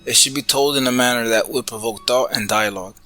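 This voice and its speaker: Bright-voiced man giving a prepared review. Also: medium pitch, fast, faint music behind. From the Bronx, New York, United States. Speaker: podcast listener sending in feedback.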